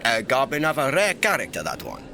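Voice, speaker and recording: Male actor as irreverent young emperor, bright lively voice, theatrical teasing, fantasy audio drama. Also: medium pitch, brisk, a little hiss or hum, full character voice. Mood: playful, boisterous. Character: young playful irreverent male emperor, secretly informal and mischievous.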